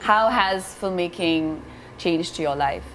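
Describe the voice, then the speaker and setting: A woman's voice: fairly low pitch, slow pace, a bit bright, a little hiss or hum. A young woman hosting a TV talk show, asking questions in a friendly manner and laughing along, on a lapel mic. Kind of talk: interview questions. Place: TV studio set.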